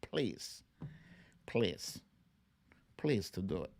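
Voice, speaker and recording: A livestream host commenting casually on clips in a playful, expressive way, with silly exclamations. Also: sits low, drawn-out words, creaky.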